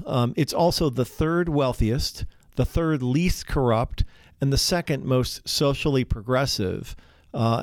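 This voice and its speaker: A man's voice: mid-range voice, a little mellow, no echo, studio quality. Earnest podcast co-host man. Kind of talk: podcast interview.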